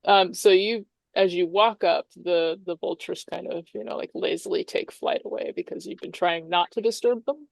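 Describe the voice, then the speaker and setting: A woman's voice: lowish voice, moderate pace, gravelly, hardly any pauses, no room sound. A woman game master improvising tabletop game narration in a conversational, explanatory way.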